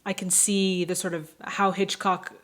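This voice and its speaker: A woman's voice: lowish voice, level tone, measured pace. A woman hosting a film reaction vlog, talking casually and emphatically to viewers in a chatty, informal way.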